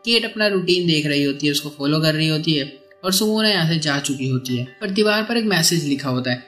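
A man tells a movie's story in a chatty, colloquial voice-over. His voice sits a little high, and he often rewords things as he goes. Soft music runs underneath. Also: lively intonation, brisk. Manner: colloquial, conversational, repeatedly rephrasing. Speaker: movie recap narrator. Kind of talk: casual film plot explanation in storytelling voice-over. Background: background music.

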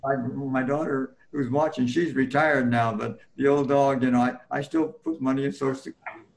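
Older man with a gravelly voice. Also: medium pitch, fairly even intonation, rough recording. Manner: halting, with many fillers and restarts. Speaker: panel guest. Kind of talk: personal recollection in an interview. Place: home room, indoors. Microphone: video call.

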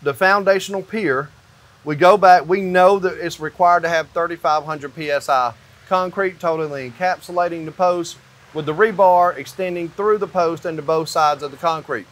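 A man's voice: a little high, normal speed, fairly bright tone. A man in his fifties giving a hands-on explanation as a builder, making his points emphatically, step by step. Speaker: company owner explaining construction. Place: indoors in a metal-walled barn or shop.

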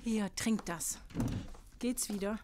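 Actress as concerned, protective mother, medium pitch. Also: takes it slowly, heavy background noise, natural voice. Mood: concerned, coaxing. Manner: soothing, coaxing. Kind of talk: German horror audio drama acting.

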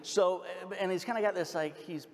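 A man's voice: sits high, brisk. A middle-aged man bantering as a panel host on a large indoor stage, wearing a small microphone. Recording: reverberant hall, noisy crowd.

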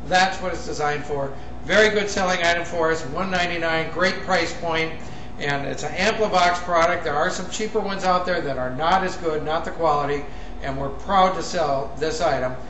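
A middle-aged American man with a slightly raw voice gives an informal, plain-spoken product demonstration, pausing hesitantly as he goes. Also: fairly high pitch. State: voice a little raw from talking all day. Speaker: salesman presenting products. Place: indoor room in front of a banner. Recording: roomy, noisy, distant camera pickup.

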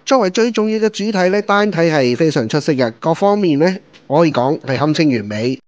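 Cantonese man reviewing a toy, bit high. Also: a little fast, no room sound, muffled recording. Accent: Hong Kong Cantonese. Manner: relaxed, explaining steps while handling a toy.

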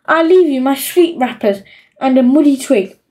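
A woman's voice: high-pitched, lively intonation, normal speed, dark tone, far from the mic. A woman voicing a greedy, bossy young boy in a storybook, gleefully insulting and emphatic. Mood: scornful. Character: bossy, greedy, scheming young boy dictating his will.

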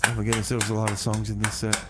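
A man's voice: fairly low pitch, completely flat delivery, rapid-fire, heavy background noise. A man hosting a casual music radio show with comic banter, stammering and rambling as he repeats phrases. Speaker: radio host.

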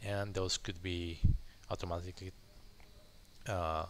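A man explaining software work informally as he thinks aloud, pausing mid-sentence and often checking with a short tag question. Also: low-pitched, level tone. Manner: halting, pauses mid-sentence, checks with "right?". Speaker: software developer, tutorial host. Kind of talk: informal live coding walkthrough, thinking aloud. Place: indoors at a computer, screen recording voice-over. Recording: faint background noise.

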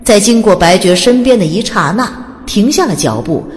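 A woman's voice: medium pitch, lively intonation, normal speed. A woman reading scripted story narration in a dramatic audiobook style. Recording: long reverb, faint background noise.

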